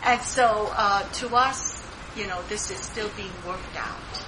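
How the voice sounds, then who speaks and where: A woman's voice: mid-range voice, takes it slowly. A woman giving an earnest sharing at a church meeting, addressing her listeners as saints. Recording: noisy recording.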